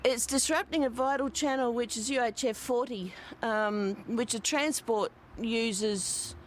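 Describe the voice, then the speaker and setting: A woman giving measured, disapproving interview comments with some hesitation. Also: a little high, a little hiss or hum.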